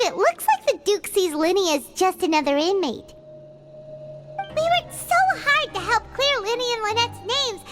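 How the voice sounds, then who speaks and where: A woman voicing a tiny, chatty, excitable fairy-like companion in an anime game, squeaky and childlike, exclaiming often. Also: exaggerated voice. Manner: refers to self in third person, exclamatory. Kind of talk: English anime game voice acting. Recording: game dialogue over background music.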